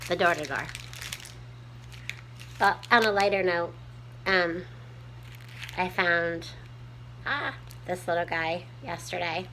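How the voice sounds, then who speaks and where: A woman with a small, soft voice, chatting casually to her viewers from home with lots of ums while she tidies up. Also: fairly low pitch, slow pace, long pauses, slight background noise, rough recording. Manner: rambling, many ums, homespun talk. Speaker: prepping vlogger. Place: home room, tidying a table.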